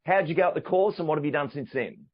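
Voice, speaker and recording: A man's voice: a little high, expressive, quick pace. Polished male podcast host. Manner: enthusiastic, polished presenter delivery. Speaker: podcast host and sales trainer. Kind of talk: podcast hosting and interviewing. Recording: dull lo-fi audio with faint music.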